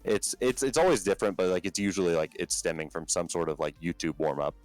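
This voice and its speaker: Low-voiced man rambling with fillers. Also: fast, no echo, soft background music. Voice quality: low talking voice. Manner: rambling, filler-heavy, many restarts. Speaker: band vocalist guest. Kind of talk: casual podcast chat.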